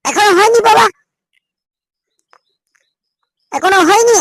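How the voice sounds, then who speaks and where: A woman's voice: very high voice, expressive, fast, a bit bright, no echo, studio quality, heavily acted. A woman voicing a cheeky, foul-mouthed young son in a comic cartoon, whiny and insolent, with a West Bengal accent. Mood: whiny, impatient.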